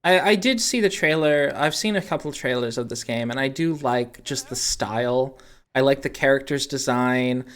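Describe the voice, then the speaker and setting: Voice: mid-range voice, normal speed. A podcast host talking casually and conversationally about video games.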